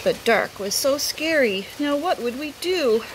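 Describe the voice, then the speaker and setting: A middle-aged woman, a teacher, reads a picture book aloud expressively, outdoors under a roof. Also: sits high. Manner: unhurried, animated. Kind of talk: expressive read-aloud storytelling. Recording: rain noise behind the voice.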